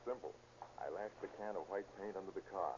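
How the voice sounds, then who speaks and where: Hard-boiled detective played by a man, low voice, shrewd and short-fused, blunt slangy delivery, dull old radio recording. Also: a little fast, a little mellow, natural voice. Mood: confident, explaining. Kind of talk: 1930s radio drama acting. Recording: noisy, band-limited old 1930s radio recording.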